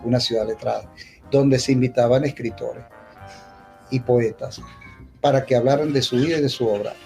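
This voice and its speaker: Venezuelan male, creaky middle register, unscripted festival speaker, halting and emphatic, light music behind. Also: medium pitch, steady tone, darkish tone. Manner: halting, repetitive, with emphatic restatements. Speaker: poet speaking at a poetry festival. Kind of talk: unscripted public talk, reminiscing.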